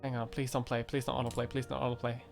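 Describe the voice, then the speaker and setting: A man's voice: mid-range voice, little pitch movement, quick pace, gravelly, faint music behind. A man in his twenties from Europe, chatting casually on a livestream, rambling with many fillers and restarts and laughing often. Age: 20s. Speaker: YouTuber.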